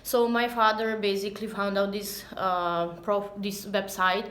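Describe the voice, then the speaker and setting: A woman's voice: medium pitch, little pitch movement, unhurried, a bit bright. A woman in her thirties, a native Serbian speaker with a Serbian accent, chats casually and somewhat hesitantly to camera as a language teacher, recorded on a phone at arm's length. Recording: echoey, roomy sound.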